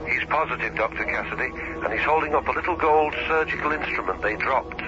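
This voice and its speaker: A man's voice: medium pitch, quick pace, noisy background, close to an everyday voice. A man playing a freighter captain reporting in, formal and urgent, in a radio drama. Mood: grave urgency. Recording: dull radio recording with music behind.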